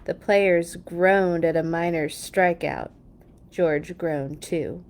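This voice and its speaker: A woman reading a children's story aloud in an expressive, playful way for young listeners. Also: lowish voice, slow, a little hiss or hum. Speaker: children's read-along storyteller. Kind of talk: storybook read-aloud with animated asides.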